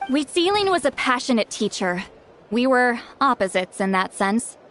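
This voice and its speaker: A woman voicing a proud, sharp-tongued female shaman who acts like a grumpy elder in a video game. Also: a little high, very animated, normal speed. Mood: scheming. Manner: haughty, teasing, self-assured. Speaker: game character.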